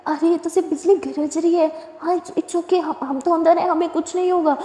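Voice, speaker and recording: A woman voicing an anxious, caring young woman who softly pleads and soothes, repeating herself. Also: extremely high pitch, steady tone, normal speed, voice pushed up. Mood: soothing. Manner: soft, pleading, repeats itself. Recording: echoey reverberant room.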